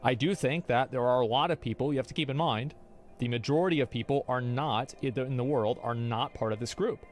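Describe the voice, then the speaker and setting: A man's voice: mid-range voice, brisk. Argumentative man streaming with faint music. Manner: rambling, argumentative, restarts often. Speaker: streamer reacting to chat. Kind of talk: casual opinion talk.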